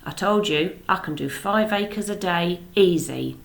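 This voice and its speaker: A woman's voice: on the low side, unhurried, gravelly. A woman voicing a boastful, scoffing male farmer who is proud of his new tractor.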